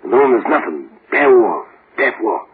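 A man's voice: mid-range voice, very animated, moderate pace, breathy edge. A man playing a cocky, scheming condemned gangster with sardonic tough-guy slang and broken grammar, in old-time radio style. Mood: conspiratorial. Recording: muffled, hissy old radio recording.

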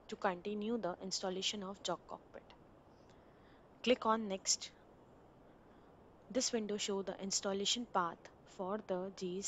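A woman narrating a screen-recorded software installation walkthrough, giving plain step-by-step instructions over a desktop mic. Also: mid-range voice, a little hiss or hum.

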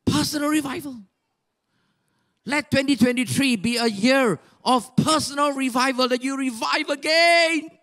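Exhorting older Malaysian pastor, high-pitched. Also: male voice, normal speed. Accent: Malaysian English. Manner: animated, exhorting, rhetorical questions, bursts of shouting. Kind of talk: sermon. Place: large church stage. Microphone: handheld mic.

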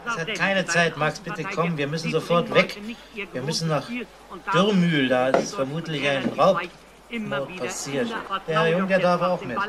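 An actor playing a harried, gruff police inspector in a radio crime drama, impatient and authoritative. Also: male voice, a little high, unhurried, a loud crowd, natural voice.

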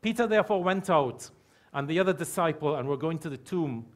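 A middle-aged man preaching a sermon from a church pulpit mic, repeating key phrases slowly for emphasis. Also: a little high, animated. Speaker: pastor. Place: church hall with stage curtains.

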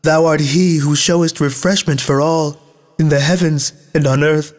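A man acts out tormented souls of the damned in a scripture tale. The voice is lifted high and cries out imploringly for mercy, with solemn, archaic wording. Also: steady tone, normal speed, close to an everyday voice. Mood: pleading. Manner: pleading, crying out. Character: wailing crowd of damned souls begging for mercy. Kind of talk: storybook scripture reading.

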